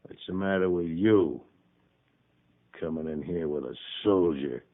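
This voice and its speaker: A man's voice: deep voice, unhurried. A man playing a taunting western barroom bully in a 1950s radio drama, with a rustic drawl. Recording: narrow, muffled 1950s radio broadcast.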